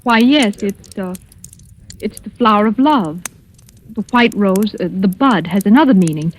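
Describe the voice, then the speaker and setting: An actress playing an affectionate, teasing rich young debutante with an inquisitive, coaxing way in a 1940s radio drama. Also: female voice, mid-range voice, lively intonation, a little slow. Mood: curious. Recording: noisy old radio recording.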